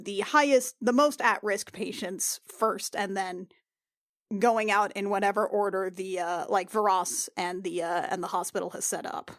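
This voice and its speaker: Woman player, mid pitch, dry close mic, lots of ums while she plans. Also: normal speed, no echo. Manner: hesitant, many fillers while planning. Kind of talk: playing a tabletop game.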